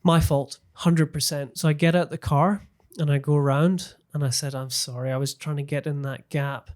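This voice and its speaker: A man's voice: a little high, level tone, moderate pace, darkish tone. A British man in his thirties chatting earnestly as a podcast guest, illustrating his points with examples. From Britain. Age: 30s. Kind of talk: casual conversational interview. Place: small podcast studio. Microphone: close studio microphone on stand.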